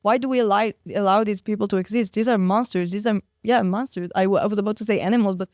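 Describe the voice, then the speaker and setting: Clear-voiced, outspoken teenage girl. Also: mid-range voice, fairly even intonation, quick pace, a little mellow, no room sound, clean studio sound. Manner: outspoken, emphatic rhetorical questions. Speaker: interview guest. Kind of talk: conversational interview, impassioned argument.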